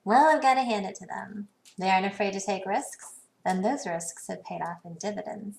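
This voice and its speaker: A woman acting a savvy, poised businesswoman in a video game, speaking in a dry, sardonic, measured way. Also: mid-range voice, creaky, darkish tone, lightly acted. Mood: cool, sardonic.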